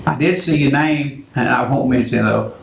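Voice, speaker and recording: A man hosting a call-in radio show, talking in a slow, halting, rambling way. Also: mid-range voice, creaky, echoey room, slight background noise, poor audio. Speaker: radio talk show host.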